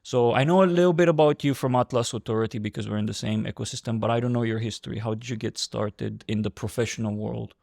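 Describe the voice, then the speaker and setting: A man's voice: medium pitch, fast talker, creaky, hardly any pauses, darkish tone, no echo, clean studio sound. A man in his thirties hosting a podcast interview, chatty and friendly, laughing often and asking open questions. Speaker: podcast host. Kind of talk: casual interview chat.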